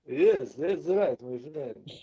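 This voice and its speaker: Brief male co-host with a Bulgarian accent, warm mid voice, dry and blunt, dull sound. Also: a little high, lively intonation, slightly rough. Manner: dry joking, blunt and swearing, halting restarts. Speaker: podcast co-host. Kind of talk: casual podcast chat.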